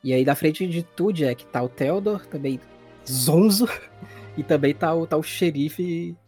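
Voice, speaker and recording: A Brazilian man runs a tabletop role-playing game as game master. His voice sits a little high, and he explains scenes informally over faint background music. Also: measured pace, warm tone.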